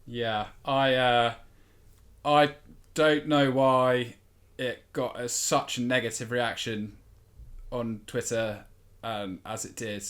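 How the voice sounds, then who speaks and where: A young man giving hesitant, measured opinions as a football podcast panellist. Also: mid-range voice, steady tone, fairly bright tone. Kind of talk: casual football discussion.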